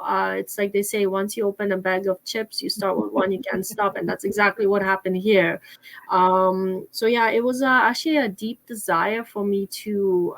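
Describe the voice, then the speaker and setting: A woman's voice: medium pitch, rough recording. A middle-aged woman from Poland, an author answering interview questions with many fillers and restarts. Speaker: author and guest.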